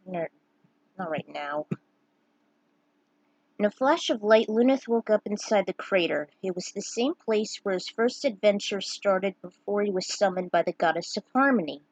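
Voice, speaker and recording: Casting: woman, mid-pitched, informal home storyteller who breaks off for offhand comments, recorded dry. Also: no echo, highs cut off. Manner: informal asides, interrupting own reading to comment. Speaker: narrator reading own fan fiction. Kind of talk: casual recap and amateur story reading.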